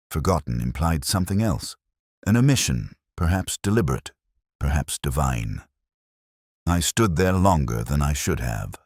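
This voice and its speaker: A man narrating a literary detective story in the first person as a world-weary inspector, measured, reflective and melancholy. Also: a bass voice, no echo, clean studio sound.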